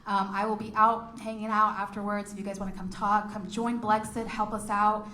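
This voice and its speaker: A woman giving a short speech at a meeting, halting, with many ums. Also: mid-range voice, little pitch movement, pushed and bright, echoey room, slight background noise. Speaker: speaker for a group.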